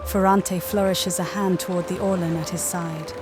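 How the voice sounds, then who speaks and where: A woman's voice: lowish voice, steady tone, normal speed, over loud music. A woman reading scripted story narration for a video game playthrough. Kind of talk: scripted story narration with voiced player lines.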